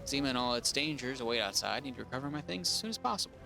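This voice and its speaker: Let's-play host: man, mid-pitched, slightly raspy, rambling casual delivery, music under him. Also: lively intonation, very fast, bright, forceful tone. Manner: rambling, full of ums and restarts, reads quest log aloud. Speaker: gaming streamer.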